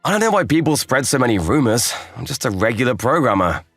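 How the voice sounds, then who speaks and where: A man playing a calm, kindly young programmer and mentor in an anime-style manga dub, reassuring and easygoing. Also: mid-range voice, fast talker, dry recording, soft background music.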